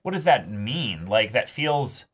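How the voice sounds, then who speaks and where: A man's voice: on the low side, big pitch swings, slow, fairly bright tone, slightly rough. A man in a casual discussion as a reaction show host, reflective and hesitant as he searches for words. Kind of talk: casual discussion of an anime episode.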